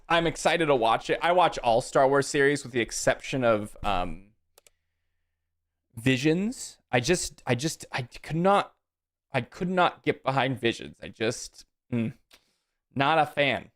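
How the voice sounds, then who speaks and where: Chatty man streaming a card game. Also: medium pitch. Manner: chatty, answers chat, thinks aloud about plays. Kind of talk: casual live-stream chat while playing.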